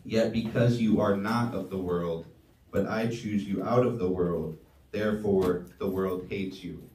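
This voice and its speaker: A man leading a church service, reading scripture with measured emphasis and preaching. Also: deep voice, steady tone, darkish tone. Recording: roomy sound from a distance.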